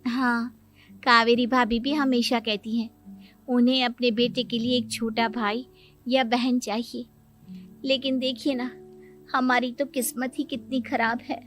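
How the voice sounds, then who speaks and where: A woman playing a gentle, earnest, childless young wife and affectionate aunt in a moral story. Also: on the high side, flat delivery, unhurried, faint music behind, close to an everyday voice. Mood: sorrowful. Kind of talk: dramatised Hindi moral story acting.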